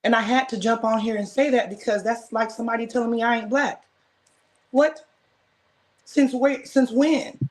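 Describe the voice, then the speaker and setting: An American woman speaking as a guest in a casual debate, firm and emphatic, driving her points home by repeating them. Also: a little high. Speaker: guest caller. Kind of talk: casual heated discussion.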